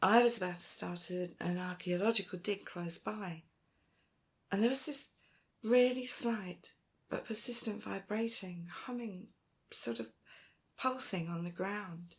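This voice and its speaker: A woman playing a proud, stubborn archaeologist in an audio roleplay, with emphatic, expressive delivery. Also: unhurried, natural voice. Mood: confiding. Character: Dune, proud, determined female archaeologist, stubborn and warm to friends. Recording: roomy, faint rumbling noise.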